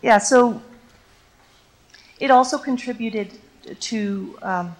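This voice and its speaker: A woman's voice: fairly high pitch, takes it slowly. A woman from Canada whose first language is Ukrainian, a teacher answering audience questions on a lecture stage in a reflective, storytelling way with many fillers.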